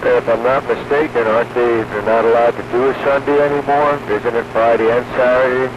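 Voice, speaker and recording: A man's voice: medium pitch, fairly even intonation, brisk. An American man making casual conversation over a two-way radio. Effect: two-way radio transmission. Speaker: radio operator. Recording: muffled, very noisy two-way radio.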